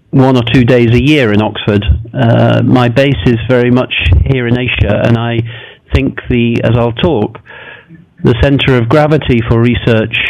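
A man in his forties speaks into a desk microphone in a lecture room, giving a guest talk. He hesitates often with fillers and makes self-deprecating asides, at a middling pitch. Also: darkish tone, dull audio. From Singapore. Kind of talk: academic lecture.

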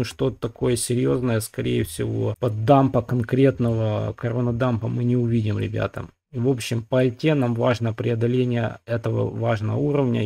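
Casting: male crypto host, gravelly and mellow, casual chart-talk voice-over. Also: medium pitch. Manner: casual, many fillers, addresses viewers as guys. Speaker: crypto market analyst, channel host. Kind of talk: screen-recorded chart analysis.

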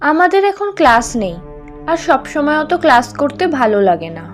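Haughty high-voiced college snob. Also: female voice, big pitch swings, a little fast, soft background music. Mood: disdainful. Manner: haughty, mocking. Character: snobbish, disdainful young well-off college girl. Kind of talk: Bengali animated story dialogue.

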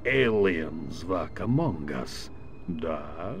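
An actor playing a scheming alien villain posing as a general, speaking with a gloating, theatrical manner in a video game cutscene. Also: male voice, a bass voice, animated, extremely slow, slight background noise, heavily acted. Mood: triumphant.

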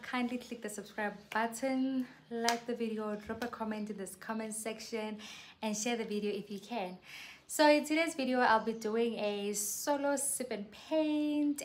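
Young woman, from South Africa, soft voice, casual vlog chat, earnest, faint outdoor hiss. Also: mid-range voice. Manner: chatty, earnest, addresses viewers as guys. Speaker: YouTuber. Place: covered patio outside a house.

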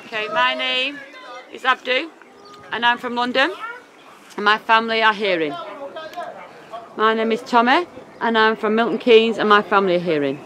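A woman interpreting for deaf footballers, voicing their words in first person with a steady, plain delivery. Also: a little high, normal speed, pauses often, a crowd in the background. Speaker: sign language interpreter voicing deaf players and coach. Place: outdoors at a football pitch. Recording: loud outdoor noise.

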